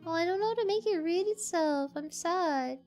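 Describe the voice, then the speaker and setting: A woman streamer with a soft voice, chatting casually and reading viewer messages, giggling often and tripping over repeated words. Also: extremely high pitch, normal speed, faint music behind.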